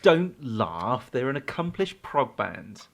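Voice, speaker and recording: A man's voice: medium pitch, sing-song, slow pace, creaky, exaggerated voice. An actor playing an awkward, self-deprecating, buttoned-up single man with nerdy tastes in a naturalistic audio drama, hesitant and prone to stammering. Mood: fussy, defensive.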